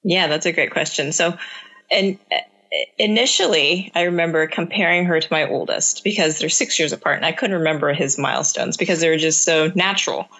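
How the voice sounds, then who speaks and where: A woman's voice: deep voice, a little fast, fairly bright tone, muffled recording. A woman answering interview questions about raising her young child, speaking earnestly and reflectively with frequent restarts, her first language Cantonese. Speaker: parent guest.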